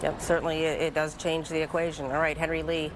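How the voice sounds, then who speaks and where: Low-voiced female news anchor. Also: level tone, fast talker, noisy background. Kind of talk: anchor questioning a reporter.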